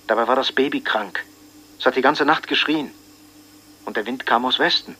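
A weary troubled neighbour. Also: male voice, mid-range voice, moderate pace, bright, forceful tone, slightly rough. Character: sleepless troubled male neighbour.

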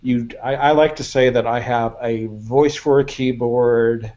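A man speaking as a guest journalist on a roundtable, in a measured, self-deprecating way. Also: mid-range voice, fairly even intonation, darkish tone, muffled recording. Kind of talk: casual roundtable chat.

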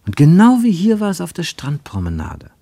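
A man with pronunciation reading literary prose for radio, measured and deliberate, with emphatic phrasing and pauses. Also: on the high side, huge pitch swings, mellow, no room sound. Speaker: narrator, reader of literary prose. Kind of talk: scripted literary narration for German radio.

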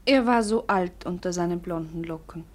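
An actress playing a melancholy, dreamy young princess in a classic radio play, hushed and poetic. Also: female voice, lowish voice, moderate pace, lightly acted. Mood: mournful. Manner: hushed, poetic, often half-whispered.

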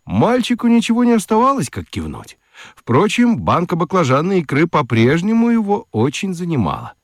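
A man narrating a children's radio play in an expressive, deliberate, wry storytelling style. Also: high voice, no room sound. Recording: dry studio with music underneath. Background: music.

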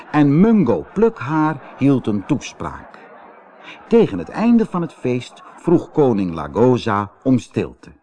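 A man narrating a children's fairy-tale audiobook in an expressive, dramatic way. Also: medium pitch. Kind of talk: scripted children's audiobook storytelling.

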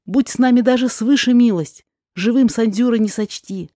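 A woman playing a humble male mountain guide and herb gatherer in a verse drama, telling things in a measured storyteller's way. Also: a little high, warm tone, no echo, studio quality, natural voice. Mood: grave, regretful. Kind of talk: rhymed verse drama reading.

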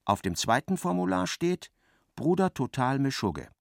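An actor narrating a literary radio play, an expressive and ironic storyteller. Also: male voice, mid-range voice, normal speed, creaky, no echo, clean studio sound. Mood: wry narration. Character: wry literary narrator of a novel, male.

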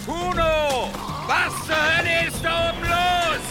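An actor playing a menacing, commanding leader of medieval horsemen in an audio drama, imperious and threatening. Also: male voice, very high voice, flat delivery, slow pace, over loud music. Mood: shouting, battle-eager. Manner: imperious, threatening, barking orders.

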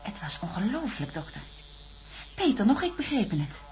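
A woman's voice: medium pitch, sing-song, normal speed, darkish tone, lightly acted. An actress playing a level-headed, earnest female astronaut in a science-fiction radio drama. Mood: troubled recounting. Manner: explanatory, earnest. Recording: radio drama with faint hum.

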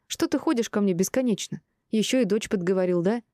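A woman voicing an exasperated, indignant divorced woman with a sharp tongue in an audiobook drama. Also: medium pitch, expressive, quick pace, dry recording, clean studio sound, voice pushed up, natural voice. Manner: irritated, indignant.

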